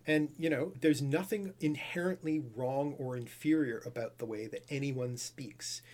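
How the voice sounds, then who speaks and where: A man explaining the history of grammar rules in a casual, thoughtful conversation, often pausing with fillers. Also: medium pitch, a little mellow. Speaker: language expert guest.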